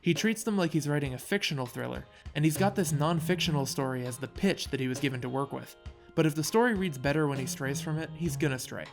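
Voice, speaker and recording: A man with a slightly high voice narrates a scripted video essay. His delivery is casual and wry, leaning into dramatic storytelling. Soft guitar music plays behind him. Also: quick pace, creaky.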